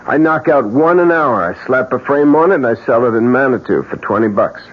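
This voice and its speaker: A man's voice: mid-range voice, animated, fairly quick, lightly acted. Actor as a theatrical, morbid eccentric painter man. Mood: gleefully morbid. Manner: theatrical, chuckling, mock-courteous. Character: eccentric, sardonic male painter, cheerfully morbid. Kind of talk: old-time radio drama acting. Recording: muffled, faintly hissy old radio recording.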